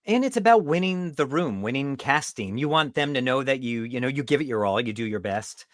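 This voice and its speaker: A man's voice: medium pitch, expressive, a little fast, no room sound, clean studio sound. A man hosting a podcast, chatting casually and asking long, rambling questions in an affirming way. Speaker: podcast host. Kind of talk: casual interview chat.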